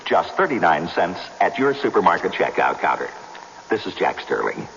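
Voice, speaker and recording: Male ad announcer, lowish and expressive, 1970s radio read, reverberant and muffled. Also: normal speed, gravelly, a little hiss or hum. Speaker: commercial announcer. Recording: reverberant, dull old radio recording.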